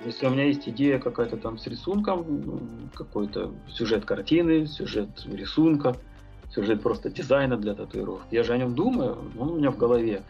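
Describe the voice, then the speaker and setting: A man's voice: medium pitch, expressive, a little fast, vocal fry, muffled recording, faint music behind. A man talking as a podcast guest, thinking aloud about his tattoo and painting work, rambling with many fillers and hesitations. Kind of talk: casual podcast conversation.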